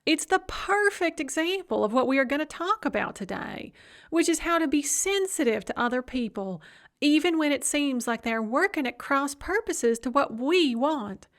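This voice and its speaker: A woman's voice: sits high, lively intonation, normal speed, pitched above the performer's own voice. A woman doing a sing-song, sickly sweet administrator. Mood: sugary. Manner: sing-song, sugary, condescending. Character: saccharine sing-song female college administrator, falsely sweet.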